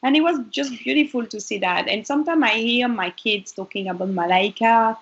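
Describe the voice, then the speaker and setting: A woman's voice: a little high, fairly quick, slight background noise. Earnest woman, dull video-call sound. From Congo. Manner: warm, earnest, with frequent restarts and fillers. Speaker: interview guest, model and charity founder. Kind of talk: casual interview conversation.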